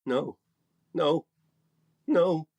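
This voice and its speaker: A very high-voiced, slow-talking male store clerk, whining and sorry for himself. He repeats his words in old radio comedy fashion, as a man on the edge of hysterics. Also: gravelly, a little mellow, full character voice. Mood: exasperated despair.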